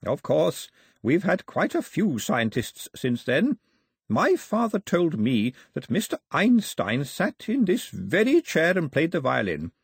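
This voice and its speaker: A man voicing a kindly, rambling old male storyteller in an audiobook, gentle and chatty. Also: a little high, huge pitch swings, unhurried, vocal fry, slightly rough, no echo, clean studio sound, pitched above the performer's own voice, full character voice. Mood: fond.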